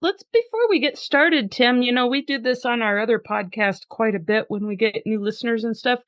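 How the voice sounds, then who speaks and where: A woman's voice: fairly high pitch, fairly quick, fairly bright tone, no echo, clean studio sound. A woman hosting a podcast in an upbeat, chatty way, laughing easily and also reading a poem aloud with drama. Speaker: podcast host.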